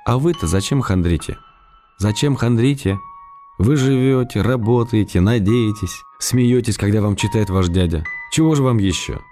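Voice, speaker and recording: A man playing a wry, lonely, affectionate writer, reading his letters aloud in a measured, tender way for a radio play. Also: fairly low pitch, dark tone. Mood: wistful, complaining. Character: wry, lonely male writer in provincial exile, gently affectionate. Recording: radio broadcast with music behind. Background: music.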